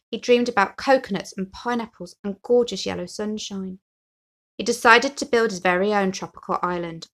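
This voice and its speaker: A woman narrates a children's storybook. Her voice sits at a medium pitch, and she reads expressively, telling the tale for young listeners. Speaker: story reader.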